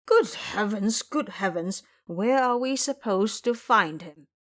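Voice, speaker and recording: Woman doing an emotional male disciple, swooping pitch. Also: lively intonation, slow. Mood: tearful.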